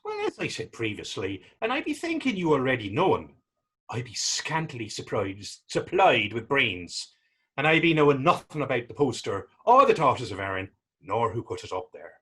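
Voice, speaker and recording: A man playing a taunting, defiant Irishman in a readers theater drama, with a husky voice, an Irish accent and a mocking, wordy old-fashioned way of talking. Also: mid-range voice, huge pitch swings, moderate pace, pushed and bright, full character voice. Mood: sly, feigned innocence. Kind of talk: readers theater stage acting.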